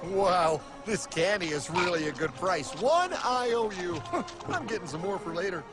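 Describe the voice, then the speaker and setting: A man with an American accent voicing a naive, whiny cartoon everyman with an excitable, protesting manner. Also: high-pitched, normal speed. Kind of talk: animated explainer cartoon acting. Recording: music bed behind the voice.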